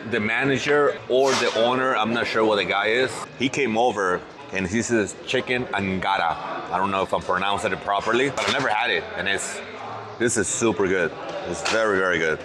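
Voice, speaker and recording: Low-voiced man chatting over a meal. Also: a little fast, crowd noise. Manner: easygoing, repeats words while thinking aloud. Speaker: vlogger host. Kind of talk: casual food vlog chat. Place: indoor restaurant table.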